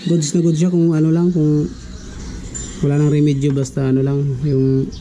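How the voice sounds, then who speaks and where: A man's voice: fairly high pitch, completely flat delivery, moderate pace, dark tone, a little hiss or hum, low-quality recording. A man talking casually as a vlogger walking viewers through a do-it-yourself tutorial. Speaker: vlogger giving a DIY tutorial.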